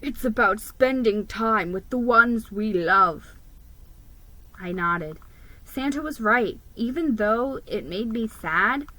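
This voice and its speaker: A woman giving an expressive, theatrical reading of a children's story. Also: mid-range voice, poor audio. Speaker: storybook reader.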